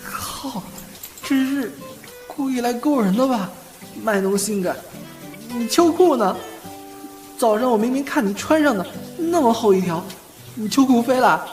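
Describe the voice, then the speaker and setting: A man's voice: very high-pitched, expressive, a little slow, long pauses. A man acting a bashful, cheeky young man who stammers and gets flustered with his older boyfriend in a Chinese audio drama. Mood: flustered, protesting. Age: young adult. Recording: loud background music.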